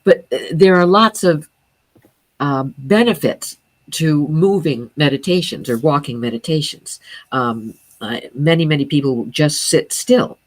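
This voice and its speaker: A woman with a low voice for a woman, a sound healing teacher speaking as a guest, explaining earnestly with many fillers and restarts. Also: measured pace, no room sound.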